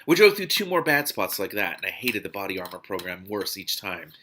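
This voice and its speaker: A man reading a novel aloud expressively as host, animated and given to breaking off with excited reactions. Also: low-pitched, fairly quick, a little hiss or hum. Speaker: host of a read-aloud book series.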